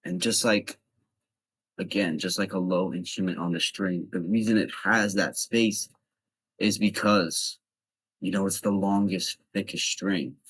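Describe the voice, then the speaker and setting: Low husky man giving blunt tips. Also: fairly even intonation, unhurried, a bit bright, rough recording. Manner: blunt, chatty, addresses viewers directly. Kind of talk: casual instructional talk to camera.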